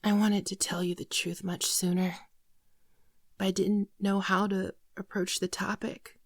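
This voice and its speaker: A timid female shapeshifting alien , acted by a woman, pleads softly in an intimate ASMR roleplay. The delivery is halting, tearful and apologetic, often falling into a whisper. The sound is dry and close, with a mid-range pitch. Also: little pitch movement, no room sound. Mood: regretful, explaining. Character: frightened, apologetic female shapeshifting alien posing as human girlfriend.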